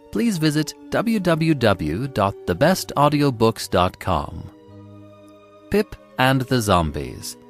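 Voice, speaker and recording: A man narrating a story as a dramatic audiobook reader, expressive and deliberate in his scripted delivery. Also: medium pitch.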